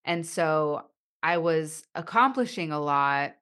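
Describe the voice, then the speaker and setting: A woman's voice: deep voice, animated, slow pace, fairly bright tone, clean tone. A woman hosting a podcast interview who speaks with a stutter, with repeated sounds and blocks, and talks in a reflective, thoughtful way. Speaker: podcast host. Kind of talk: conversational interview.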